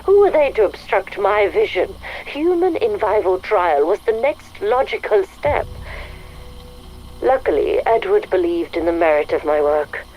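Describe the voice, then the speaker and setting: A woman playing an arrogant, coldly ambitious scientist dictating a game audio log, measured, self-satisfied and contemptuous. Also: mid-range voice, very animated, a little mellow, low-quality recording. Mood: cold satisfaction. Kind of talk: scripted audio log monologue. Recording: faint noise, recorded audio log.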